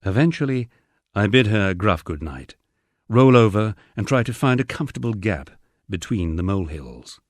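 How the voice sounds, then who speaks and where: Low-voiced male audiobook narrator, lively intonation. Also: normal speed, dry recording, studio quality. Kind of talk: scripted first-person memoir narration.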